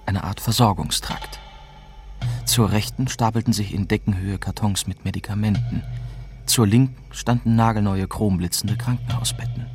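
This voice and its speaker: A man's voice: on the low side, close to an everyday voice. An actor playing a young German reporter who narrates his story in the first person, as scripted radio drama. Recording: clear music bed behind narration.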